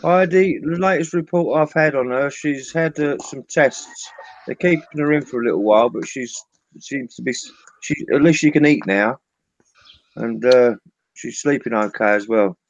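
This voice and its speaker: A man's voice: medium pitch, normal speed. An English man chatting as a regular guest on a live stream, laughing often. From England. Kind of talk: casual chat. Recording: dull lo-fi call audio.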